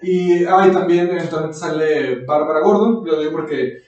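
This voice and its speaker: A man's voice: fairly high pitch, normal speed, a little mellow, dull audio. A man with a Mexican accent co-hosting a podcast, talking about comics in casual, slangy, nerdy fashion. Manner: slangy, self-described nerd. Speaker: podcast co-host. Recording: very echoey room, far from mic.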